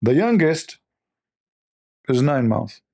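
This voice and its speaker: A man speaking in non-native English, giving a mocking critical commentary full of rhetorical questions. His voice is strained and gravelly because he is losing it. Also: mid-range voice, big pitch swings, takes it slowly, darkish tone.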